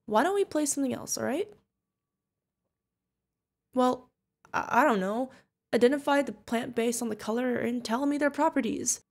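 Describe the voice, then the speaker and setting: A woman's voice: on the high side, vocal fry, darkish tone. A woman playing a protective older witch mentor, fond, teasing and stern, in an ASMR fantasy roleplay. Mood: exasperated, fond. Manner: affectionate teasing, mock-exasperated. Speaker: voice actor.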